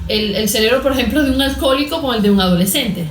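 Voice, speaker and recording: A woman's voice: on the high side, quick pace, faint music behind. A woman with a Venezuelan accent explaining as an expert guest in a conversational interview, didactic, posing questions and answering them. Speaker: guest expert, cognitive therapist. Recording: echoey room.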